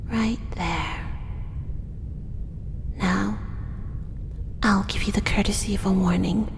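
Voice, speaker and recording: A woman's voice: mid-range voice, steady tone, vocal fry, big-room sound, noisy background. A woman whispering as a possessive spider-woman. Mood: whispery, possessive. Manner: soft, slow, whispered, doting yet possessive. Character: possessive female drider, spider-woman monster. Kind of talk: ASMR audio roleplay.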